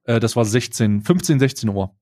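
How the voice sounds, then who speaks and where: A man's voice: mid-range voice, normal speed. A young man co-hosting a podcast, telling a story in casual slang with lots of restarts. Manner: animated, slangy, frequent restarts. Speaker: podcast co-host.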